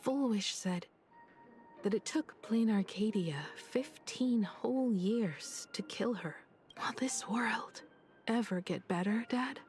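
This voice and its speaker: An actress playing a composed, melancholic young woman, soft and breathy, in a dramatic game story. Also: fairly high pitch, slow pace, faint music behind. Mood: weary, bitter.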